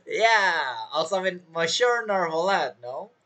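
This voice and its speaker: A game streamer with a husky voice giving casual let's-play commentary. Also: medium pitch, expressive, measured pace, heavy background noise.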